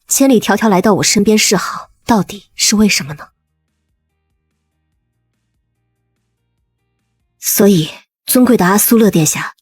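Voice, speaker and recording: A woman playing a composed, resolute young noble lady in a dubbed animated drama, speaking in deliberate, formal phrases. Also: mid-range voice, husky, no echo, studio quality. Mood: probing, guarded.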